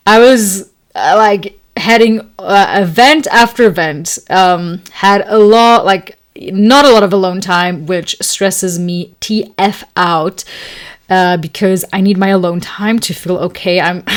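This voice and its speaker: A young adult woman whose first language is Serbo-Croatian, telling a story in a casual, chatty monologue as an astrology host, full of fillers and restarts. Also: mid-range voice. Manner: chatty, many fillers and restarts, laughs often.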